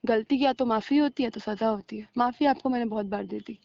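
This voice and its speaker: A woman's voice: fairly high pitch, fairly quick, creaky, dry recording. A woman arguing heatedly with a partner on a recorded phone call, scolding and sarcastic, slipping English words into her talk. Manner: mixes in English words and phrases.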